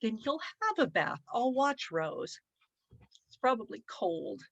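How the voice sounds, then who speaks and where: A woman reading aloud and voicing a calm, gentle older husband who soothes his wife. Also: fairly high pitch, slow, no echo, dull audio, voice pushed up, heavily acted. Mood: soothing. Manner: soft, soothing, reassuring.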